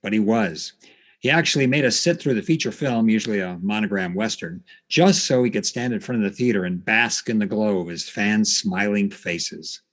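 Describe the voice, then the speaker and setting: Guy hosting a podcast, lowish voice, conversational storytelling, bit halting as he goes. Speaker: podcast host. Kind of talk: storytelling narration from notes, conversational.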